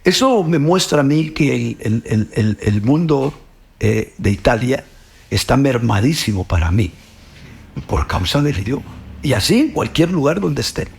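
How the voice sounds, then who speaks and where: A man's voice: medium pitch, big pitch swings, normal speed, creaky, a little mellow. A middle-aged man with a Guatemalan Spanish accent, speaking earnestly about faith, often repeating words and ending with "pues, ¿verdad?". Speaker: host. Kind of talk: reflective faith talk.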